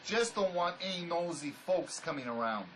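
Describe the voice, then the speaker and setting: A man acts out a wary escaped convict and robber in a drama, gruff and sarcastic. His pitch sits a little high and swings widely. There is faint noise on the recording. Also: expressive, normal speed, a bit bright. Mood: wary, grumbling. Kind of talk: dramatic script performance.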